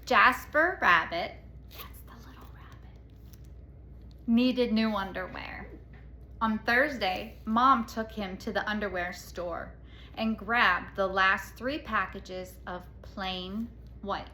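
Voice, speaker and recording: A woman reading a children's picture book aloud as a storyteller, expressively and dramatically, with pauses. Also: medium pitch. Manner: dramatic, with hushed asides and pauses. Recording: echoey room with faint noise.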